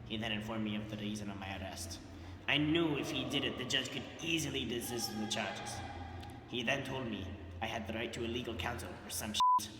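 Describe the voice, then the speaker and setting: A man's voice: mid-range voice, fairly quick, noisy background, lightly acted. A young man playing a crude streetwise ex-con. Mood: gruff. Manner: swaggering, swears casually, drawls "man". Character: streetwise male ex-con drug dealer, tough and crude. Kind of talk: student amateur video acting. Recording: echoey room, far from the mic, with music.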